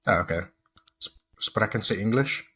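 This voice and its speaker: A man's voice: fairly low pitch, lively intonation, normal speed, bright, forceful tone, slightly rough. A man co-hosting a podcast, chatty, laughing easily and stumbling over repeated words. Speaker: podcast co-host. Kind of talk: casual podcast chat.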